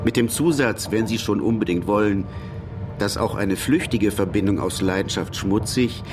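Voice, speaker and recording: An actor playing a stern, precise revolutionary polemicist in a German radio drama, formal and pointed in delivery. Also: male voice, on the low side, moderate pace, background noise, close to an everyday voice. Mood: didactic, pointed. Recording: distant from microphone, music behind.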